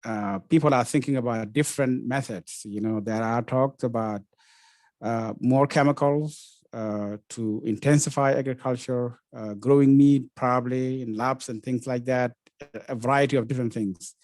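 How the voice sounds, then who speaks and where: A man from South Sudan, a researcher speaking on a panel, halting with frequent uhs and repeated words. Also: medium pitch, steady tone, darkish tone, no room sound. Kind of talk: webinar panel talk.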